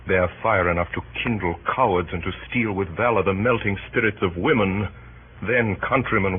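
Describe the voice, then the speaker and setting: An actor playing Brutus as a grave, brooding Roman conspirator in 1930s radio Shakespeare style, speaking in deliberate, rhetorical verse. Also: male voice, lowish voice, close to an everyday voice. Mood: impassioned. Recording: muffled, faintly noisy 1930s radio broadcast.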